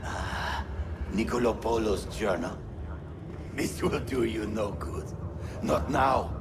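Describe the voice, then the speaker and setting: An actor playing a ruthless Templar captain in a video game cutscene, taunting and theatrical with a gravelly edge. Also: male voice, lowish voice, background noise. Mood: weakened, taunting. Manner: taunting, theatrical, drawn-out delivery. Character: ruthless swaggering Templar captain, a shouting villain.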